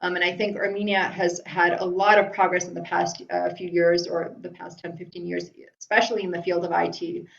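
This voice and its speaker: A woman's voice: lowish voice, level tone, brisk, gravelly, hardly any pauses. A middle-aged woman from Iran talking casually in a live webinar from home, answering viewer questions with many ums and restarts. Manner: chatty, frequent ums and restarts. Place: room at home. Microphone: video call. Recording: roomy, dull lo-fi video call audio.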